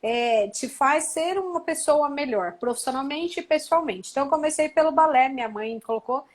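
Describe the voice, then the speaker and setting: A woman in her thirties from Brazil, a doctor and guest, answering interview questions in a measured, reflective way and often adding tag questions. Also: high-pitched, expressive. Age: 30s.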